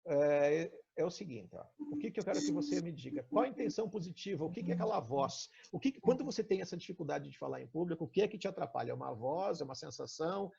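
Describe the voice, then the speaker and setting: A Brazilian man leads a live coaching session in a conversational, probing way. His voice is fairly high for a man, with a creaky, gravelly grain. The audio is dull and lo-fi, like an online call. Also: expressive, fast, few pauses, dark tone. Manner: explanatory, asking probing questions.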